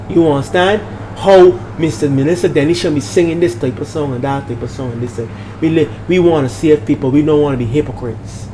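An older man with a Bajan accent talks casually as a minister hosting his vlog, preachy and emphatic, repeating phrases and checking in with listeners. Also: fairly high pitch. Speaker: minister and channel host. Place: small room at home, indoors. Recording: noisy room with steady background hum.

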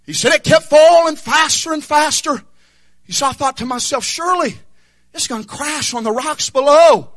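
High, shouting young preacher. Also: male voice, normal speed. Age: young adult. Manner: fervent, half-singing calls of amen and hallelujah. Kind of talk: shouted revival sermon.

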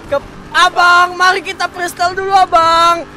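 A man's voice: very high-pitched, level tone, moderate pace. A young man in casual gaming roleplay chat, playful and singsong, repeating catchphrases. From Indonesia. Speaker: gaming streamer. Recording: music and engine noise behind voice. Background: music and game vehicle sounds.

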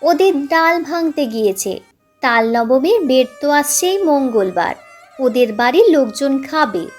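A woman's voice: high-pitched, animated, a little slow, darkish tone. A woman voicing an offhand, well-off older village boy in a narrated story. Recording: music playing behind the voice.

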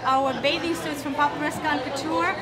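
A woman designer hosting quick, upbeat introductions of her models in a crowded hall. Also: high-pitched, fairly even intonation, a loud crowd, very noisy. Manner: quick upbeat prompting of others. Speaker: designer hosting backstage interviews. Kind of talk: casual chat.

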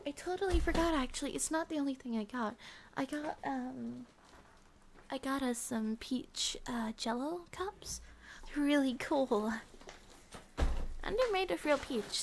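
Woman acting a high-voiced sleepy girlfriend. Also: slight background noise. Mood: soft, chatty. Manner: soft, drowsy, hesitant, giggly. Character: sleepy, affectionate young student girlfriend. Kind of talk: ASMR girlfriend roleplay.

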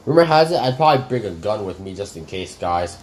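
A man's voice: lowish voice, sing-song, fast, a little hiss or hum. A man hosting a reaction video, rambling casually and addressing viewers as "guys" in nearly every sentence. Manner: rambling, says "guys" constantly. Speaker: reaction channel host.

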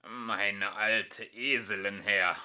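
A man's voice: on the low side, fairly even intonation, pushed and bright, clean tone, pitched below the performer's own voice, full character voice. A man voicing a poor, worried old villager in a storybook, pleading plaintively. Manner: slow, plaintive.

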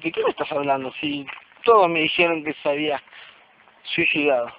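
A man's voice: a little high, wildly swooping pitch, brisk, creaky, heavily acted. An amateur man actor playing a suspicious man seeking the truth about his brother, with an Argentine accent, in a radio play. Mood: incredulous, demanding. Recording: noisy, muffled amateur radio drama recording.

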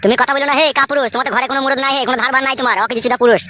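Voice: high voice, steady tone, very fast, pushed and bright, no echo, clean studio sound. A performer voicing an angry, stubborn, foul-mouthed young son-in-law who scolds and argues in a comedy cartoon. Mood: scornful, angry. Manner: scolding, argumentative, crude.

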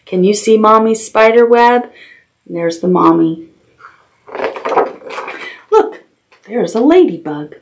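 A middle-aged woman reads picture books to toddlers with very lively pitch movement. She takes her time, stopping to ask simple questions and point things out in an expressive storytime manner. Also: takes it slowly, pauses often, noisy background. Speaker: children's library storytime host. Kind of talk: read-aloud picture-book storytelling with animated asides. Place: small decorated indoor room with puppets.

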